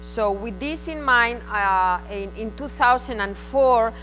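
A woman's voice: on the high side, slow, a bit bright, faint music behind, poor audio. A woman from Spain with a Spanish accent, presenting at a conference in a frank, conversational way that comes in short bursts. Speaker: invited conference speaker. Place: conference hall.

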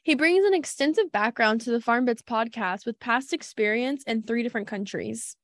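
A woman's voice: on the high side, moderate pace, a bit bright, no room sound, studio quality. A woman co-hosting a podcast, reading a polished intro and asking friendly questions. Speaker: podcast co-host.

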